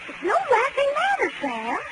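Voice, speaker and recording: A woman's voice: extremely high pitch, wildly swooping pitch, normal speed, heavily acted. A woman acting a prim, fussy, adoring and jealous secretary in a 1940s radio drama. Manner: fussy, earnest. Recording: noisy vintage 1940s radio broadcast.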